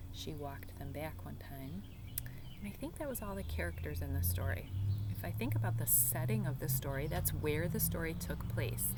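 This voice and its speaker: A woman's voice: low-pitched, noisy background. A woman teacher reading a storybook aloud to young children and explaining it in simple terms.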